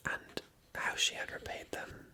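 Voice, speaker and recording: A person reading a story aloud as ASMR narration in a hushed, unvoiced whisper. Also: measured pace. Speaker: ASMR reader and channel host.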